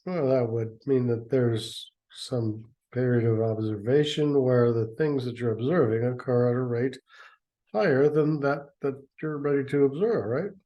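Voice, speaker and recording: A man's voice: medium pitch, unhurried, dull audio. Sarcastic man mocking a story. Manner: sarcastic, mocking asides with stammers. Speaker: reader and commentator. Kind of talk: dramatic reading with sarcastic commentary.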